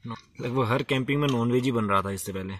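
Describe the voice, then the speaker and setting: A man's voice: mid-range voice, brisk, a bit bright, no echo. A man chatting casually and informally to viewers as he narrates his own solo camping vlog. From India. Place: at a forest campsite. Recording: distant mic, faint noise, small clicks.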